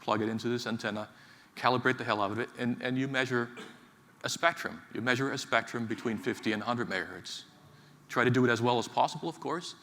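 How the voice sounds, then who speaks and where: A man from Finland giving a technical conference talk in a large hall, speaking haltingly with many fillers and repeated words. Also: medium pitch, bright, forceful tone, slight background noise. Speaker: conference speaker, associate professor. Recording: reverberant hall, faint ambience.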